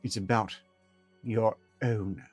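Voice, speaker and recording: A man voicing a calm female researcher who speaks slowly and evenly. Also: fairly low pitch, breathy edge, dry recording, soft background music. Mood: measured. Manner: slow, measured, level.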